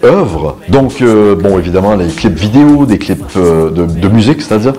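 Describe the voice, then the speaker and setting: A middle-aged man talking about himself in an interview, hesitating and pausing between short phrases. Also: on the low side, expressive, dark tone. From Canada. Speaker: videographer, photographer and musician being interviewed. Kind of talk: interview self-portrait. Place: indoor photo studio. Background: background music.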